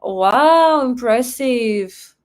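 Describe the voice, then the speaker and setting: A woman's voice: a little high, lively intonation, drawn-out words. A young woman with a Russian accent hosting a live stream, chatting casually, reading chat questions aloud and thanking viewers for donations. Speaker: livestream host.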